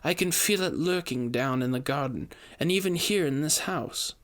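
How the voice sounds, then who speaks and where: A man plays a high-society widow in an audio story, pitched up from his usual voice. She is genteel and dramatic, flattering and pleading, with grief and secrets underneath. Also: moderate pace, close to an everyday voice. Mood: fearful, pleading. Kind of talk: audio fiction character dialogue.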